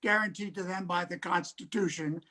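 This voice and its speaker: A woman's voice: on the low side, moderate pace, pushed and bright. A woman playing a pompous, dismissive courtroom opponent of suffrage, in formal oratory. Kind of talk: formal legal oratory in reader's theater.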